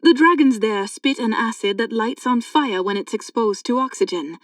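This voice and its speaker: An actress playing a knowing woman in a sci-fi audiobook drama, speaking in a clear, measured, explanatory way. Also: fairly high pitch, lively intonation, dry recording, studio quality, recorded from a distance, lightly acted. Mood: calm explaining. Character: two sci-fi women, a knowing guide and a curious thief. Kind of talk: full-cast audiobook dialogue.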